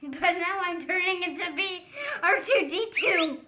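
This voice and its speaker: Child, high piercing voice, slow theatrical delivery, improvised puppet show, echoey room. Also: sharp tone. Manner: theatrical, exclaiming and giggling. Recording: echoey room with some background noise.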